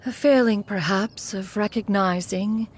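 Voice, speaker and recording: A woman's voice: mid-range voice, a little slow, a little hiss or hum, close to an everyday voice. A woman voicing a proud, caring elder female immortal in a video game, speaking formally and loftily. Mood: reflective, solemn. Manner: formal, lofty, refers to self as "one".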